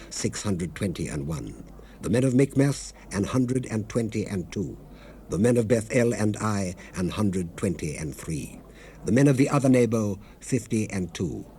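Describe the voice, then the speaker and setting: Man playing solemn devout old testament governor, very mellow voice, measured scripture reading, hissy 70s cassette. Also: lowish voice, close to an everyday voice. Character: Nehemiah, solemn devout male biblical governor of Jerusalem. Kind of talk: dramatized scripture reading in formal archaic English. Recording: hissy unprocessed 1970s cassette rip.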